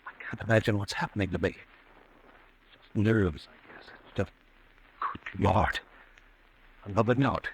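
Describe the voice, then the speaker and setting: An actor playing a haunted, nervous businessman who fears himself, in agitated, halting, melodramatic 1940s radio style. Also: lowish voice, gravelly, a little hiss or hum, poor audio. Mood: anxious, muttering. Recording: old radio broadcast recording.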